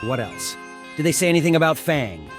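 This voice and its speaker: A man's voice: fairly high pitch, sing-song, fairly quick, music in the background. Man voicing a hot-headed, protective teenage jock. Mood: agitated. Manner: casual, emotional, emphatic. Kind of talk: visual novel fan dub acting.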